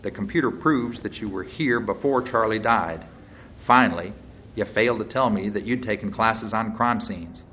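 A man's voice: fairly low pitch, normal speed, bright, forceful tone, slight background noise. Man voicing a blunt folksy small-town deputy. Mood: accusing, smug.

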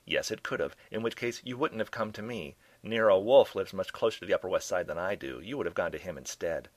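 A man voicing an elderly, pompous, quick-witted gentleman sleuth in a declamatory, theatrical way for a comic story. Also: deep voice, animated, no echo. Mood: smug, reasoning.

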